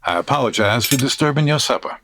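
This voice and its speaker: A man playing a calm, courteous stranger in an old west comedy audio drama. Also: medium pitch, quick pace, background noise, close to an everyday voice. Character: polite, calm mysterious male stranger.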